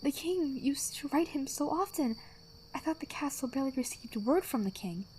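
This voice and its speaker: Actress as blunt woman mercenary, highish voice, very animated, exasperated, audio drama, background noise. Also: moderate pace. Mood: disgusted, uneasy. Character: small young woman mercenary, squeamish and blunt.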